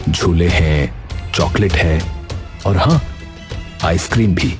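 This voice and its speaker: Man acting a low, slow, coaxing stranger. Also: over music. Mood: gently coaxing. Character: mysterious tall, serious stranger, gently coaxing a child. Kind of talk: animated cartoon story dialogue.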